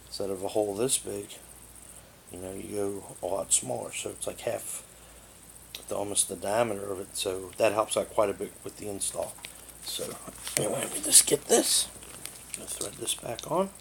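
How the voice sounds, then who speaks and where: A man's voice: fairly low pitch, animated, moderate pace, gravelly. A man giving a casual hands-on product overview in a rambling, matter-of-fact way, recorded indoors on a tablet microphone. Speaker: product reviewer. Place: living room indoors. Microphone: tablet's built-in microphone. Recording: noisy amateur tablet recording.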